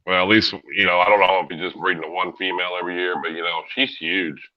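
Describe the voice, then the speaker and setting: A man's voice: deep voice, little pitch movement, fast. An American man chatting casually as a livestream host in a folksy, loose way. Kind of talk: casual chat. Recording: slightly dull livestream audio.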